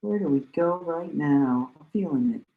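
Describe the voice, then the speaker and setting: A man's voice: a little high, expressive, normal speed, vocal fry, phone-quality sound. A young man with a nasal voice, chatting casually and upbeat on a trading live stream. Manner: laid-back, upbeat, chatting about trades between songs.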